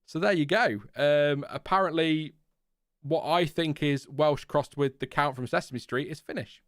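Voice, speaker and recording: A man's voice: fairly high pitch, measured pace, fairly bright tone, no echo, studio quality. A British man hosting a casual race reaction, thinking aloud and rambling with many fillers. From Britain. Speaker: host.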